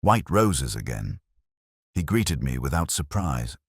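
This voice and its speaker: A man playing a weary, melancholy English police detective, narrating a literary mystery in a solemn, wistful, measured way. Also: rumbling low, sing-song, husky, no echo, studio quality, full character voice. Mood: wistful, resigned.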